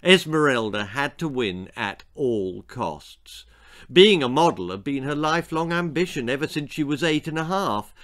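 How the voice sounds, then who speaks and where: A man in his sixties reading a children's story aloud with dramatic, expressive narration, savouring the words. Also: fairly high pitch, moderate pace. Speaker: author reading own stories. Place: small book-lined room indoors.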